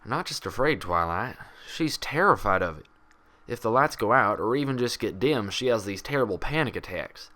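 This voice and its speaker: A man's voice: on the low side, expressive, normal speed, sharp tone. A man voicing a frank, proud, friendly female pony doctor with a folksy way of talking, in a story reading. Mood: frank, explaining.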